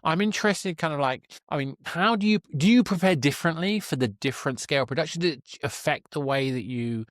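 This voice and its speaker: A man's voice: on the high side, a little fast, few pauses, no echo. Man interviewing in a very dry recording. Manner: tentative, roundabout questioning. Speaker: podcast host, interviewer. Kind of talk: conversational interview.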